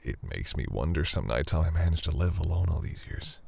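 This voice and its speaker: Ancient vampire boyfriend, male actor, low husky register, tender and bashful, halting formal delivery for intimate audio roleplay. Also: expressive, a little mellow, full character voice. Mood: loving, grateful. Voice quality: deep, gravelly, husky. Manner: hesitant, halting, earnest, formal wording.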